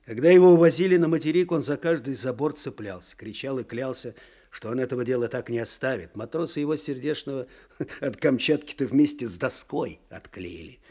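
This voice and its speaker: An actor playing a weary, sardonic older provincial clerk in a radio drama, with a husky voice and folksy, wry speech. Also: male voice, mid-range voice, fairly quick, dark tone, close to an everyday voice. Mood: wry, ironic. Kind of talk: Soviet radio drama acting.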